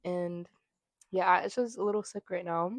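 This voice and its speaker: A young woman in her twenties with a lowish voice talks in a loose, chatty way, full of fillers and little laughs. She is close to a small desk mic, and the sound is dry with no room echo. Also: fairly even intonation, moderate pace. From New York. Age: 20s. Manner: chatty, giggly, many fillers. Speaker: podcast co-host. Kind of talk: casual chat.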